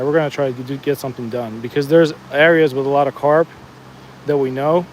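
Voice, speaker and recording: Fishing-streamer host: Jersey-accented man, a touch high-pitched, easygoing running chatter outdoors, faint traffic hum behind. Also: darkish tone, rough recording. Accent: New Jersey. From New Jersey. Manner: chatty, answers viewer comments, frequent restarts. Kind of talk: casual live-stream chat while fishing. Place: outdoors at a fishing spot, walking the bank.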